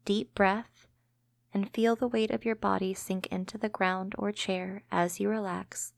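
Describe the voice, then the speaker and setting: A woman hosts a guided relaxation, reading scripted imagery in a calming, measured manner. Her medium-pitched voice stays quite level, with little rise or fall. The sound is dry, free of room echo. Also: gravelly. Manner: gentle, calming, measured delivery. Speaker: host and narrator of a guided meditation podcast.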